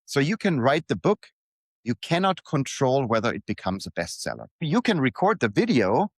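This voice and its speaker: A man delivers a reflective motivational monologue in a mid-pitched voice with very lively intonation. He speaks in deliberate, short phrases and repeats patterns for emphasis. The sound is dry, with no room around it. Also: no room sound. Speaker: writer reading own newsletter aloud.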